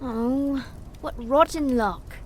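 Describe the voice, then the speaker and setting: Woman acting high-voiced, spirited young schoolboy. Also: slow pace, music in the background. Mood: boyish, coaxing. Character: well-mannered, spirited ten-year-old boy. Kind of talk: audio drama acting of a gothic period story.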